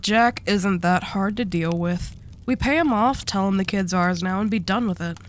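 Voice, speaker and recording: A woman playing a commanding, sharp-tongued mafia boss in an audio roleplay drama, dry, curt and wry. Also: mid-range voice, moderate pace, slight background noise, close to an everyday voice. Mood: hard, determined.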